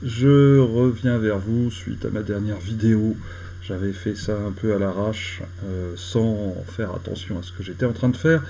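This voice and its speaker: A man giving a casual screen-recorded software tutorial, talking slowly with many hesitant fillers. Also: lowish voice. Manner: hesitant, many "euh" fillers, chatty and apologetic. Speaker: tutorial host.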